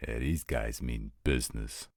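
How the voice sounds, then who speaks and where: A man's voice: rumbling low, a little slow, dry recording, studio quality. A man playing a blunt, roguish supernatural scout who talks in casual street slang, in an audio roleplay drama. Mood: blunt, matter-of-fact.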